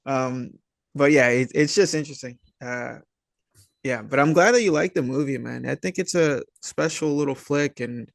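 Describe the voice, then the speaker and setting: A man's voice: medium pitch, gravelly, no room sound. A man chatting casually as an interview guest, rambling and hesitant, with plenty of slang. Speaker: interview guest, actor and filmmaker. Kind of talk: casual chat.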